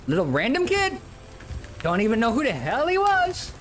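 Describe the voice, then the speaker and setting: A man's voice: very high-pitched, wildly swooping pitch, moderate pace, a bit bright. A man in his early forties chatting casually and informally as he streams a game. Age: early 40s. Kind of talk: casual chat while gaming.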